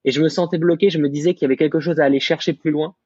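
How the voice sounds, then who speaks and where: Self-assured young French man, husky. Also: a little high, fairly even intonation, very fast, a little mellow, no echo. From France. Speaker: guest content creator. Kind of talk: casual podcast discussion. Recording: slightly muffled dry recording.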